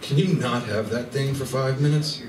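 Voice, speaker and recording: A roguish party-animal wolf from a radio comedy as a man acts him out. He is boozy, flirtatious and rambling, in a medium-pitched voice. The sound is roomy, with faint noise behind it. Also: normal speed, far from the mic, natural voice. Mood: breezy. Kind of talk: live stage radio play acting.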